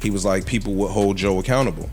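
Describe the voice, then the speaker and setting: A man's voice: low voice, fast, a little mellow. A man with an American accent talking in a slangy, conversational way on a podcast panel. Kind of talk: casual podcast discussion. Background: faint music.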